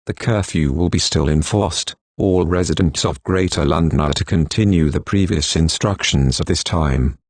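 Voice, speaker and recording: A man reading a scripted emergency broadcast announcement in a formal, flat, stilted synthetic manner. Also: extremely low pitch, moderate pace, few pauses, breathy edge, dry recording.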